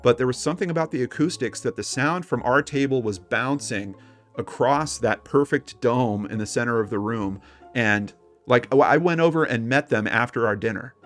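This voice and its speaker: Male podcast co-host, average pitch, informal and chatty storyteller, conversational paranormal talk. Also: moderate pace, fairly bright tone, faint music behind. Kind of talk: casual paranormal discussion with personal anecdotes.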